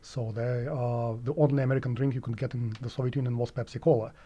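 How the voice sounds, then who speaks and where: A man's voice: medium pitch, steady tone, quick pace, mellow. A man in his forties from Russia with a Russian accent, an economics professor answering interview questions in his office, hesitant and full of filler sounds. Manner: hesitant, many filler sounds, chuckles while talking.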